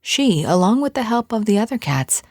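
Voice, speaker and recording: A woman's voice: medium pitch, sing-song, normal speed, background noise, lightly acted. A woman narrating an audio drama as a wry, descriptive storyteller.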